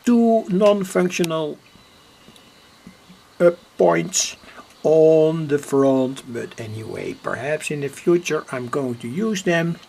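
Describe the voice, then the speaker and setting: A man with a Dutch accent, a native Dutch speaker, explaining a homemade device in an unscripted, halting and deliberate way, often pausing mid-sentence. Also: fairly high pitch, big pitch swings, creaky, darkish tone, slight background noise. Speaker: electronics hobbyist presenter.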